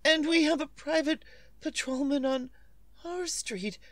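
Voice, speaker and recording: High-pitched, fretful society invalid. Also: female voice, a little slow, dry recording, clean studio sound. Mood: agitated, urgent. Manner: imperious, fretful, melodramatic. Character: nervous, demanding, well-to-do invalid wife. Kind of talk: old-time radio suspense acting.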